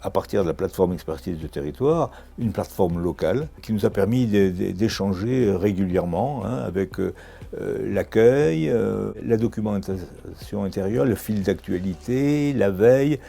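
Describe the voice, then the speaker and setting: A man with a gravelly, husky voice giving formal, measured interview testimony. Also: lowish voice, expressive, mellow, soft background music.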